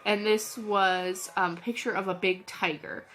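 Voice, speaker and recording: A woman's voice: medium pitch, fairly even intonation, unhurried, fairly bright tone, a little hiss or hum. Chatty woman with a cold. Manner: chatty, scattered, lots of ums and restarts. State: has a cold. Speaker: channel host, reseller. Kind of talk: casual vlog chat.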